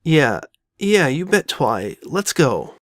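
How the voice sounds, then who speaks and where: A woman voicing a loyal, reassuring young boy dragon who talks plainly and gently insists, in an audio drama. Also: deep voice, very animated, slow, dry recording, clean studio sound, full character voice. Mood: encouraging. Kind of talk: all-dialogue fan audio drama.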